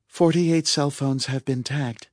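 A man voicing a calm, formal female artificial intelligence in an audiobook, speaking in a measured, precise way. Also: mid-range voice, no room sound, studio quality, pitched above the performer's own voice. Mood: calm, clinical. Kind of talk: audiobook character voice.

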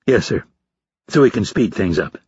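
A man's voice: on the low side, moderate pace, husky, dry recording, highs cut off. A man playing a confident young male recruit in an audiobook, answering respectfully and without flinching. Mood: confident, composed. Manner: calm, respectful, matter-of-fact. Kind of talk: audiobook character voice.

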